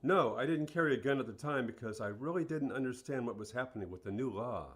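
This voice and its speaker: An actor playing an earnest male fast-food store manager being interviewed in a radio play, plain-spoken and matter-of-fact. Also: medium pitch, animated, slightly rough.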